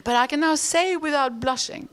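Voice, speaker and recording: A woman's voice: sits high, moderate pace, background noise. A middle-aged woman with a Greek accent, speaking as an economist on a conference panel, earnest and outspoken with many fillers. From Greece.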